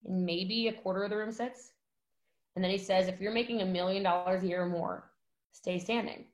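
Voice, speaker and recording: A woman in her thirties, a guest telling a story in casual chat, animated and acting out quotes with lots of fillers. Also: mid-range voice, brisk.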